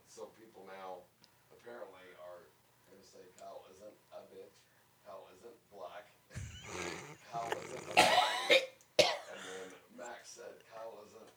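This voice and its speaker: A man hosting a casual studio podcast, with loose, profane, laughing banter and a voice going slightly raspy. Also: lowish voice, background noise. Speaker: podcast host.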